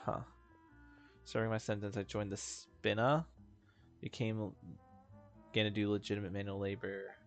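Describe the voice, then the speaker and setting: A man with a low, creaky voice gives casual video game commentary over game music. He mumbles asides to himself and reads dialogue aloud, stumbling over words as he goes. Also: level tone, moderate pace, long pauses.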